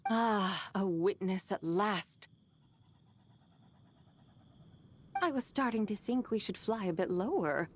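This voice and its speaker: A woman's voice: medium pitch, unhurried, a little hiss or hum, close to an everyday voice. A woman voicing an ancient, proud and mischievous horse spirit in a video game, with grandiose, archaic phrasing. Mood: showy, teasing. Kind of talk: video game character dialogue. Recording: game music behind the voice.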